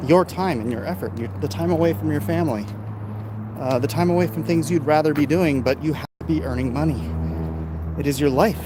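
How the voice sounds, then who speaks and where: A man's voice: fairly high pitch, fairly quick. An American man talking off the cuff in a casual, opinionated, emphatic way, like a vlogger chatting to viewers. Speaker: live-stream vlogger reading and answering viewer comments. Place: outdoors, in his backyard. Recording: noisy outdoor urban ambience, traffic sounds.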